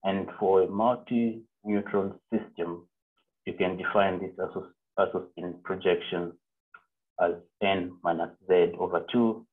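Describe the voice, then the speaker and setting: A young man from Zambia speaks in a low, husky voice that stays flat and even. He lectures in an online seminar, pausing on fillers and correcting himself as he goes. The sound is thin and phone-like, as over a video call. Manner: halting, many fillers and self-corrections. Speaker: physics PhD student presenting a seminar. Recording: narrow phone-like call audio.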